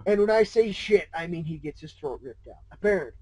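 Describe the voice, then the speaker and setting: A man's voice: fairly high pitch, animated, quick pace, darkish tone, dry recording. A man chatting casually and jokingly as co-host of a fan fiction reading show.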